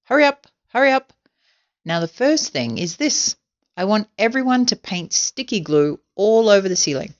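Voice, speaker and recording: A woman voicing a bossy, excitable male monkey ringleader in a storybook, giving urgent orders. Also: medium pitch, moderate pace, lo-fi sound, lightly acted. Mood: frantic, urgent. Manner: urgent, shouted commands.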